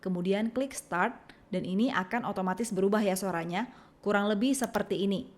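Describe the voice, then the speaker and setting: A woman with an Indonesian accent reading a scripted software tutorial, giving clear step-by-step instructions. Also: mid-range voice, fairly quick. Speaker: tutorial narrator.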